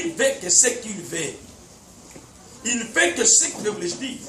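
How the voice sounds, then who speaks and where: A man preaching a teaching sermon as a pastor, with emphatic repetition and frequent chuckles, speaking French, which is not his first language. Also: high voice. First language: a language other than French. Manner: emphatic, repeats words, chuckles often. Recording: echoey, distant mic, background noise.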